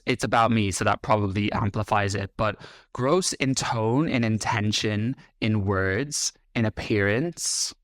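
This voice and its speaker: A man's voice: medium pitch, fairly bright tone, no room sound, studio quality. A man with an Irish accent, hosting a reaction video with blunt, sarcastic commentary. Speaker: host.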